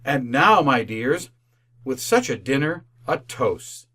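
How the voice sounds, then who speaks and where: A man's voice: mid-range voice, very animated, slow pace, bright, forceful tone, full character voice. An actor playing a kindly, devout, cheerful clerk and family father in a radio drama. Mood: hearty. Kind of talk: old-time radio drama acting.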